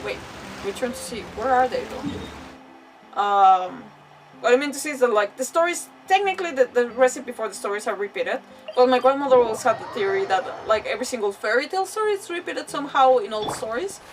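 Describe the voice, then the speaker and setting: A woman with a fairly high voice chats casually through a game livestream. She rambles with animated asides. The mic sounds a little distant and game music plays clearly behind her. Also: brisk. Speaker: game streamer. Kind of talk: casual chatty livestream commentary. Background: video game music.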